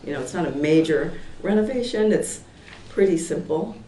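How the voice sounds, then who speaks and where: A hoarse woman in her sixties who is losing her voice. She speaks at a public hearing and rambles with fillers and restarts. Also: on the low side, animated, moderate pace, warm tone. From Portland, Oregon, US. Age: 60s. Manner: rambling, many fillers and restarts, laughs lightly. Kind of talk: informal testimony at a town meeting. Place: town meeting room. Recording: echoey hall, far from the mic, faint noise.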